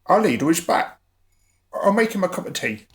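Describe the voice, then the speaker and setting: A man's voice: high-pitched, sing-song, normal speed. A man acting in a radio drama as a hapless, loyal sidekick with a hesitant, bumbling manner. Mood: eager.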